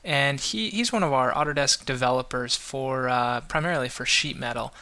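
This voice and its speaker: Bright, clear-voiced male podcast host. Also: medium pitch, normal speed. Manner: relaxed, rambling with many restarts and fillers. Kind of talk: casual podcast talk.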